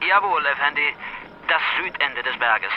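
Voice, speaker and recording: An obedient military officer in a radio play, acted by a man. His voice is high, formal and clipped, with a dull lo-fi sound and faint noise behind. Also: expressive, moderate pace, natural voice. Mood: dutiful, nervous. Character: obedient, deferential Turkish army officer reporting. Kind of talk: German radio drama acting.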